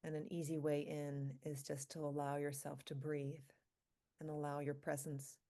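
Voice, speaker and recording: Low-voiced woman guiding a meditation. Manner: calm, slow, pausing between short phrases. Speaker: meditation leader, physician. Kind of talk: guided meditation, live group session.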